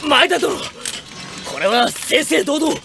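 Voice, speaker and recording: An actor playing a fiery, earnest, honour-bound young samurai in an audio drama, declaiming formal archaic warrior speech. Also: male voice, extremely high pitch, lively intonation, takes it slowly, creaky, exaggerated voice. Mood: stubborn. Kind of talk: Japanese anime-game audio drama acting.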